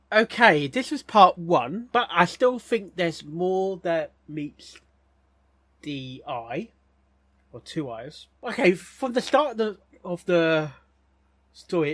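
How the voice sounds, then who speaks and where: A man giving casual, rambling reaction commentary as a host, haltingly, often repeating words and phrases. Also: sits high, a bit bright, no room sound.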